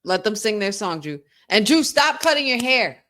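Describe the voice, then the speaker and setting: A woman co-hosting a wrestling watch-along, talking in an excitable, chatty fan style with lots of "like". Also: mid-range voice, moderate pace. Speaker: podcast co-host. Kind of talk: casual watch-along commentary.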